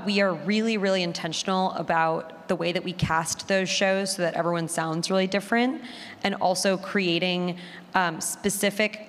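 A woman speaks as a panelist in a discussion, explaining her craft. Her voice sits a little low, and the long echo of a hall surrounds it. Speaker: panelist, audio drama maker. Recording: echoey hall, distant room sound.

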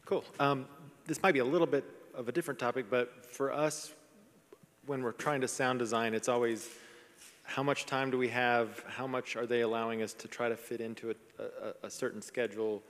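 A man's voice: mid-range voice, fairly even intonation, normal speed. Casual man asking from a reverberant hall. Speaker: audience member asking a question. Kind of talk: casual spoken question. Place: large indoor session room. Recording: echoey hall, live session.

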